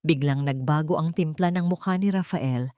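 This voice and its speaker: A woman's voice: low-pitched, normal speed, warm tone, dry recording, studio quality. A woman narrating an audio drama in a scripted, dramatic storytelling style.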